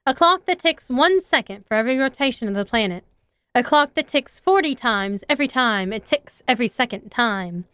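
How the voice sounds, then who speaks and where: A woman voicing a shy, intense teenage schoolgirl, soft and hesitant, reading game dialogue aloud. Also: fairly high pitch, expressive, moderate pace, clean tone, no room sound.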